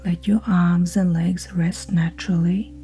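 A woman guiding a sleep hypnosis in a slow, soothing, soft-spoken manner with breathy phrase endings. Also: on the low side, little pitch movement, slight background noise. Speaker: hypnosis guide. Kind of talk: guided sleep hypnosis narration. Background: ambient music with singing bowl tones.

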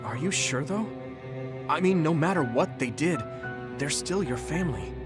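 A man's voice: fairly high pitch, animated, normal speed, music in the background, natural voice. An actor playing a kind, steadfast young male soldier in an anime game, earnest and reassuring. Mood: earnest, supportive.

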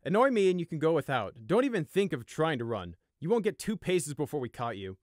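A man voicing a blunt, hotheaded male pegasus soldier who barks orders loudly. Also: fairly high pitch, big pitch swings, brisk, dry recording, studio quality, voice pushed up. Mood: barking. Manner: barking, blunt, loud. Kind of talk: audiobook character voice.

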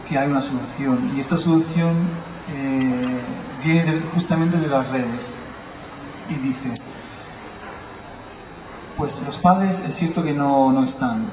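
A man from Italy giving an informal public talk outdoors, explaining ideas and posing rhetorical questions. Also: medium pitch. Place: outdoors at a building entrance on a city square. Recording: roomy, distant, noisy, church bells.

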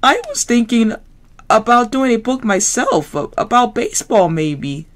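Woman voicing a gentle, halting frog guy for a storybook; hesitant, stammery, slightly high. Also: big pitch swings. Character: mild, hesitant male frog, kind and easily pushed.

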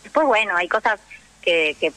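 A woman with an Argentine Rioplatense accent, explaining things in an animated, conversational way as a guest in a radio interview. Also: medium pitch, normal speed. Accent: Argentine Rioplatense Spanish. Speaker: interview guest, actress and theatre teacher.

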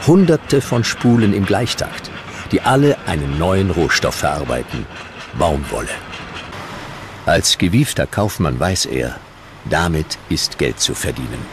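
A man narrating a scripted historical documentary in measured, dramatic short sentences. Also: rumbling low, noisy background. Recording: music behind the narration.

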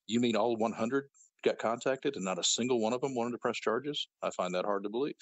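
A man's voice: medium pitch, brisk, no room sound. A man speaking as an interview guest, recounting events in an indignant, matter-of-fact way.

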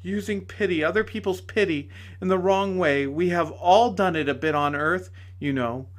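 A man reading aloud voices a kind, joyful woman who pleads softly and earnestly. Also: high-pitched, normal speed, voice pushed up, lightly acted. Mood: urgent pleading. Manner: soft, earnest, urgent pleading. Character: gentle, joyful heavenly lady, pleading.

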